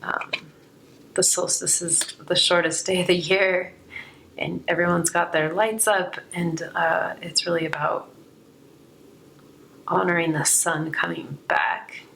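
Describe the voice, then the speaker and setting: Female tarot reader, low register, a touch hoarse, reflective and unscripted delivery, some noise behind the voice. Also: fairly even intonation, takes it slowly, pauses often, fairly bright tone, rough recording. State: slightly hoarse. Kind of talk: unscripted spiritual card reading, reflective.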